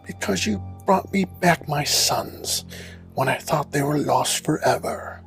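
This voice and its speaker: A man playing a stern, imperious master and parent in an audio drama, speaking in a formal, haughty, measured way. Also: fairly high pitch, vocal fry, over music. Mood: haughty, grudging. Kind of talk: audio drama character acting.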